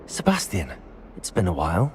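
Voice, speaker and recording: A man's voice: deep voice, wildly swooping pitch, normal speed, slight background noise. A man playing a polite, confident teenage wizard student in a video game, with a British accent and formal wording. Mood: curious, polite. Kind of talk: video game cutscene acting.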